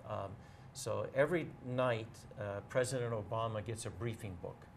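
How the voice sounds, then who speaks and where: A man in a casual interview, talking as a bookseller guest in a measured, explanatory way and pausing to choose his words. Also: fairly low pitch, vocal fry, a little hiss or hum.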